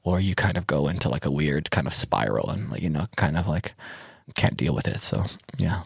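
A young adult man, a DJ, speaking in a relaxed interview, laughing often as he tells stories with animated quoting. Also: a bass voice, brisk, gravelly, breathy edge, no room sound, studio quality. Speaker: DJ and interview guest.

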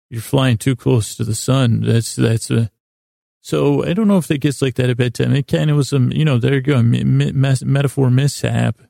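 A man hosting a sleep podcast, telling stories in a casual, meandering way with a gravelly voice, often halting and trailing off mid-thought. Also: medium pitch, steady tone, mellow, dry recording, clean studio sound. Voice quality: gravelly, creaky voice. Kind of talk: rambling casual bedtime storytelling monologue.

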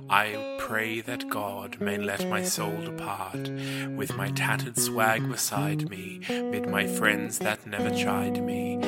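A man reciting poetry expressively, in a measured and dramatic way. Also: on the low side. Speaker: poetry podcast reader. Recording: loud guitar music behind voice. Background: acoustic guitar music.

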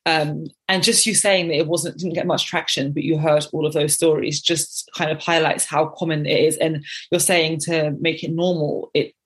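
A woman's voice: deep voice, level tone, moderate pace, hardly any pauses, husky. A young adult woman hosting a podcast interview, encouraging and affirming her guest. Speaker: podcast host.

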